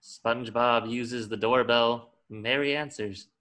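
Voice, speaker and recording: A man's voice: medium pitch, steady tone, slow, pushed and bright. A man reading scripted stage directions as the narrator of a table read.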